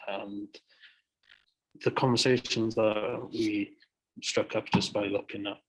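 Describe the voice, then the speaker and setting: A man in an artists' panel, speaking slowly and searching for words. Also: lowish voice, flat delivery, rough recording. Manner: slow, measured, searching for words. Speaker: artist in the group.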